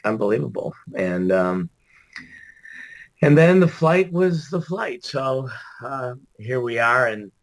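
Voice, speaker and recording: A hoarse, gravelly middle-aged man, worn out after an all-nighter, chatting as a podcast guest with lots of fillers and laughs. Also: mid-range voice, a bit bright, low-quality recording. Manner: rambling, laughs often, many fillers. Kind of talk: casual interview chat.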